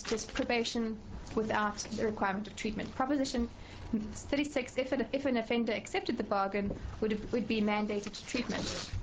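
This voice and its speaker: A middle-aged professor with a South African accent gives formal testimony before a committee. Her voice sits slightly high, and she speaks in a measured way, pausing on fillers and restarting phrases. A faint hiss runs underneath. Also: low-quality recording.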